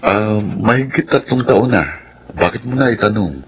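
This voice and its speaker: An actor with a Filipino accent playing an earnest, trusting young suitor in a radio drama, with a husky voice. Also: male voice, lowish voice, moderate pace, a little hiss or hum, natural voice. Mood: trusting, friendly.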